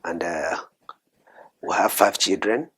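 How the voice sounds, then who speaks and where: A middle-aged man from Cameroon, a guest in an interview, talking in a measured, soft-spoken way with frequent hesitations. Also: low voice, breathy edge. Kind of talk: interview conversation.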